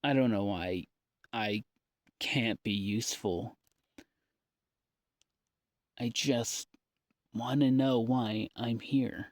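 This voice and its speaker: A man recording a rambling, confessional audio diary in a halting, downbeat, informal way with long pauses. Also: mid-range voice, dry recording, studio quality. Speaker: audio diarist.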